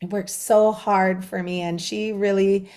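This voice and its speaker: A woman's voice: mid-range voice, flat delivery, slow, a little mellow, clean tone. A middle-aged woman from Quebec City whose first language is French, a guest author telling her story in a bubbly, rambling way with many fillers. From Quebec City, Canada. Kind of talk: conversational storytelling.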